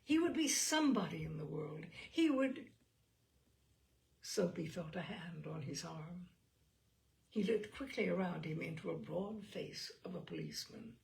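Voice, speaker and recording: A woman storyteller reading literary fiction aloud in an expressive, theatrical way with deliberate phrasing. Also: fairly low pitch, gravelly, a little hiss or hum.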